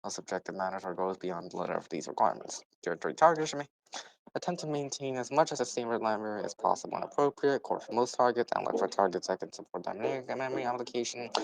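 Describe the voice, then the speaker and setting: A man's voice: lowish voice, creaky, poor audio. A man reading a technical policy document aloud, stumbling over words and repeating phrases as he goes. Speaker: reader.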